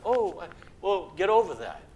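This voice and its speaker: A man's voice: sits high, sing-song, normal speed, darkish tone. A man in his sixties hosting a casual interview, chatty and encouraging, rambling between thoughts. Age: 60s. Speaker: show host.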